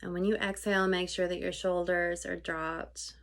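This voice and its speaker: A woman leading a guided meditation in an informal, conversational way, unscripted, with halting phrasing that trails off softly. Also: fairly low pitch, flat delivery.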